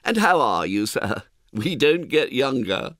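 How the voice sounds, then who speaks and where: Man playing elderly box-office clerk, raised swooping pitch, effusive, familiar, deferential, audiobook character. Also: sing-song, a little slow, a bit bright, no room sound, clean studio sound. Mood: surprised, chatty. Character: chatty deferential old box-office clerk, nostalgic and surprised.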